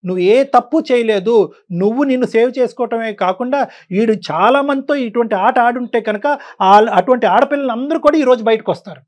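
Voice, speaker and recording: A woman giving forceful, exhorting advice as a counsellor on a talk show. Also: a little high, a little fast. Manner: emphatic, exhorting.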